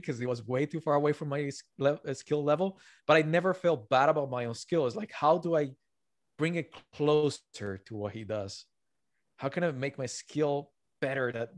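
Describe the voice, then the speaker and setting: A man's voice: medium pitch, no echo, clean studio sound. A man in his thirties whose first language is not English, an author explaining his ideas earnestly in a podcast interview over a video call. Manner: earnest, emphatic, gives examples. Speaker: author guest. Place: home room with bookshelves. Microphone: video call, headset.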